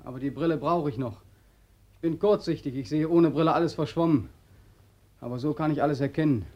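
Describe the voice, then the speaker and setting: Guy playing desperate young ex-soldier in a radio play, highish voice, bitter and anguished. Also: a little fast, lo-fi sound, natural voice. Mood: bitter, sardonic. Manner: anguished, intense, sardonic. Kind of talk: German radio drama acting.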